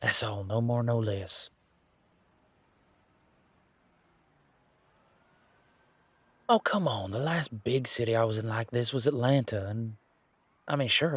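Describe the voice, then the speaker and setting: A man playing a laid-back, wry werewolf in an intimate one-sided audio roleplay, talking in a casual, folksy, teasing way with a husky voice. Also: medium pitch, lively intonation, moderate pace, dry recording. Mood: relaxed.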